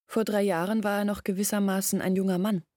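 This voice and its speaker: An actress playing a young village woman in a German radio drama, recalling her family's past in a measured, reflective way. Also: medium pitch, flat delivery, warm tone, no room sound, clean studio sound, close to an everyday voice. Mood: reflective, earnest. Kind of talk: German radio play acting, reflective storytelling.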